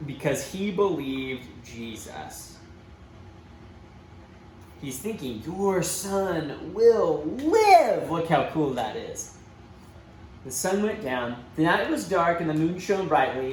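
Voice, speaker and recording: A man reading a storybook aloud to children, expressively, with dramatic emphasis and asides to his young listeners. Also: mid-range voice. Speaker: church children's storybook reader. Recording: roomy echo, faint background noise.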